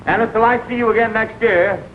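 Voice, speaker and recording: A man's voice: sits high, steady tone, quick pace, lightly acted. An actor playing a pushy, glib traveling salesman in a 1940 film comedy, with slick sales patter. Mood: brisk, smug. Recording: hissy, dull old film soundtrack.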